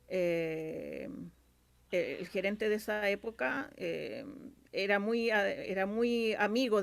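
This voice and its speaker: A woman's voice: mid-range voice, creaky. A woman with a Chilean accent speaking as a guest in a casual interview, chatty and rambling with plenty of filler phrases. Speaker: interview guest, radio drama scriptwriter.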